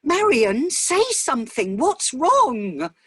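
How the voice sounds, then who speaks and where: A woman's voice: sits high, very animated, takes it slowly, fairly bright tone, no echo, clean studio sound, pitched above the performer's own voice, full character voice. A woman voicing a bluff, easygoing husband who can turn anxious, in a story reading. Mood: alarmed.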